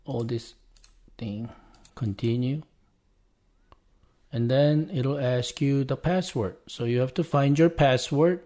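A man's voice: fairly low pitch, darkish tone. A man whose first language is Korean, hosting a podcast and talking viewers through a casual step-by-step tutorial, narrating each click as he goes. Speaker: podcast host. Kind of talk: casual screen-share tutorial.

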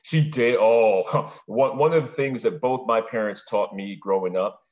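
A man's voice: lowish voice, measured pace, a little mellow, muffled recording. A middle-aged man from Brooklyn, a podcast interview guest, talking reflectively in long, winding sentences. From Brooklyn, New York.

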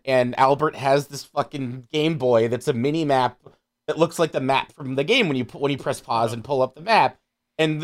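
A man chatting casually and profanely about films as a podcast guest, peppering his talk with "like". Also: medium pitch. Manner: animated, profane, frequent "like". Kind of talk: casual film discussion.

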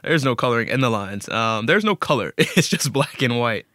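A man's voice: medium pitch, expressive, moderate pace, sharp tone, dry recording, studio quality. A man speaking as a guest storyteller in a casual interview, candid and informal, with a self-deprecating way of talking.

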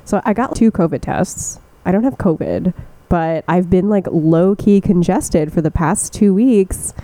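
A woman's voice: on the low side, unhurried, warm tone, dry recording, low-quality recording. A woman co-hosting a podcast in casual chat, congested and a little nasal, laughing often and filling her talk with "like". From US East Coast. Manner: chatty, laughs often, lots of "like". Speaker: podcast co-host.

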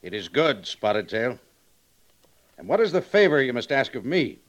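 An actor in a 1950s western radio drama playing a courteous, authoritative cavalry major with a formal, measured manner. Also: male voice, mid-range voice, very animated, fairly bright tone. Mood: formal, grave.

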